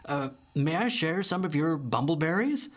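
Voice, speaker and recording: A man's voice: fairly high pitch, expressive, normal speed, voice pushed up. A man voicing a sly, smiling male bear who speaks in rhymes, in a storybook.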